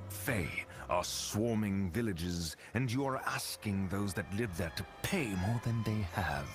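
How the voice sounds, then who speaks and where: An actor playing a grim, blunt adventurer in a fantasy game, speaking with stern urgency. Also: male voice, lowish voice, animated, unhurried. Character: grim, blunt male adventurer warning of unrest. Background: game music.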